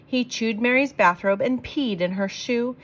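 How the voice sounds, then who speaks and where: A woman reading rhyming verse aloud for children in an expressive, theatrical storytelling way, leaning on the rhymes. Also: fairly high pitch, a little slow.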